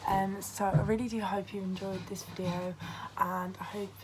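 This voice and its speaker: A woman's voice: medium pitch, little pitch movement, moderate pace. A teenage girl chatting casually in a home vlog from her bedroom, rambling with plenty of fillers. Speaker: vlogger. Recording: noisy home video.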